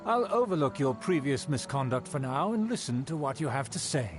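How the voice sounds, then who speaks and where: A man's voice: mid-range voice, sing-song, fairly quick, lightly acted. An actor playing a pompous, snobbish middle-aged nobleman who speaks formally and condescendingly. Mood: haughty. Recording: game dialogue with music behind.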